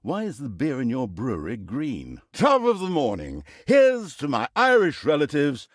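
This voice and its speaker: A man's voice: medium pitch, wildly swooping pitch, normal speed, no room sound, clean studio sound. A man playing a grandiose, tongue-in-cheek narrator in a fantasy video game, with mock-solemn, theatrical delivery. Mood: gleeful, teasing.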